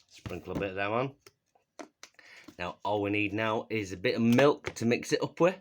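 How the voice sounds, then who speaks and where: A man's voice: mid-range voice, animated, a little slow, pauses often, slight background noise. A man with a Yorkshire accent hosting a mock cooking show at home in a kitchen, joking at himself and chuckling between lines. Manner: jokey and self-mocking, chuckling between lines. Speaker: joke cooking video host.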